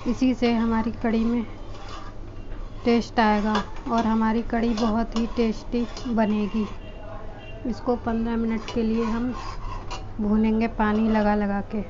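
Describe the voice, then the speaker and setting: A woman with a fairly high voice talks viewers through a recipe step by step, keeping her tone level and calm. She speaks from her home kitchen into a phone, and the sound is muffled and lo-fi. A faint room hum sits behind her. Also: measured pace, pauses often. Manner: addresses viewers as friends, calm explaining. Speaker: home cooking channel host. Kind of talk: step-by-step cooking instructions.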